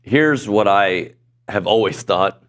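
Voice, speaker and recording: A middle-aged man hosting a sports talk show in a studio, chatty and self-deprecating, his voice a little hoarse. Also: lowish voice, animated, takes it slowly. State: voice going hoarse. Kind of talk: casual sports talk chat. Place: broadcast studio.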